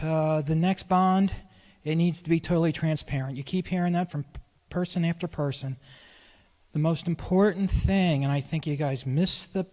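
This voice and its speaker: A man's voice: a little high, darkish tone. A man making an insistent public comment at a school board meeting, often restarting his phrases. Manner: earnest, insistent, with frequent restarts. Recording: livestream with long hall reverb.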